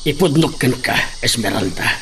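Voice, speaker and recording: A man's voice: medium pitch, expressive, moderate pace, creaky, fairly bright tone, slight background noise. A man playing a wronged old labourer and father in a radio drama, earnest, resolute and set on revenge. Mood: aggrieved, resolute.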